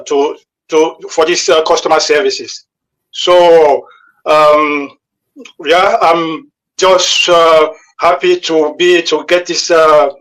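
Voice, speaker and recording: Male guest from Cameroon, fairly high voice, polite and hesitant. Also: fairly bright tone, poor audio. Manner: polite, repeating his thanks, hesitant. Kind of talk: casual self-introduction.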